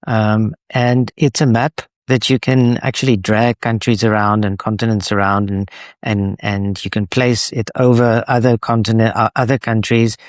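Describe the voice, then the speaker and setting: A man with a South African accent, a native Afrikaans speaker, answering interview questions in a halting way with many restarts. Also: on the low side, flat delivery, no echo, clean studio sound. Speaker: guest, association co-founder.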